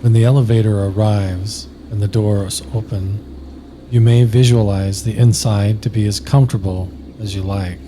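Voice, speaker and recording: A man narrating a scripted guided sleep meditation slowly and soothingly, with long pauses. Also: on the low side, fairly even intonation, background noise.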